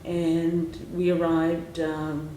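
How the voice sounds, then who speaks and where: A woman's voice: sits low, monotone, extremely slow, warm tone. A woman in her sixties from Hungary introducing herself at a roundtable, meandering and correcting herself as she goes. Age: 60s. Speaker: lawyer. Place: meeting room roundtable. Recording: echoey room, faint hiss.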